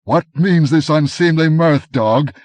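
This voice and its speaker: A man voicing an arrogant young nobleman who gives commands and turns uneasy, speaking haughtily and loudly. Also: mid-range voice, expressive, normal speed, no room sound, voice pushed up, lightly acted. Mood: angry. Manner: haughty, commanding, rising to alarm.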